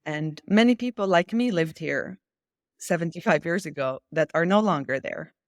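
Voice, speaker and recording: A woman's voice: on the low side, animated, moderate pace, dry recording, studio quality. A woman from Israel speaking as an interview guest in a political discussion, challenging and insistent, repeating key phrases for emphasis.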